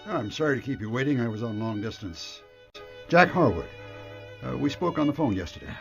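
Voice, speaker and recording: An actor playing an anxious, earnest and formal retirement home director in a radio drama. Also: male voice, mid-range voice, expressive, fairly quick, creaky, soft background music. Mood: brisk, cordial. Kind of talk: radio detective drama acting. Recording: dull lo-fi radio broadcast.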